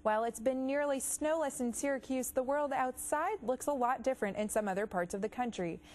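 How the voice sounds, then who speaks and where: A young adult woman reporter and anchor reading scripted news in a crisp, measured way. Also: sits high, a little mellow. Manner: crisp, measured newsreader delivery.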